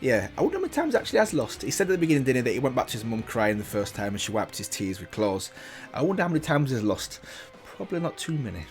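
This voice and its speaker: A man's voice: mid-range voice, sing-song, rapid-fire, soft background music. A man giving casual, curious reaction commentary as a video host, often repeating himself.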